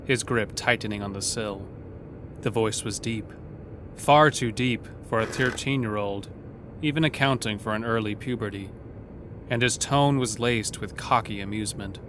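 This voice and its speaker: A man's voice: on the low side, moderate pace, background noise. A man narrating a scripted horror story in an audio drama. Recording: clear outdoor street ambience behind voice.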